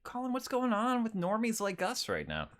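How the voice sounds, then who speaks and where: A man's voice: high voice, wildly swooping pitch, moderate pace, fairly bright tone. A man hosting a podcast in a casual, laid-back and jokey way. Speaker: podcast host. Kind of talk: casual chat.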